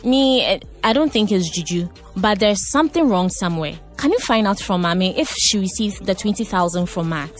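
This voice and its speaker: Young Ghanaian woman played by an actress, shrewd, insistent, lively intonation, phone-call drama, light music behind. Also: mid-range voice, moderate pace, few pauses, close to an everyday voice. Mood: suspicious, insistent.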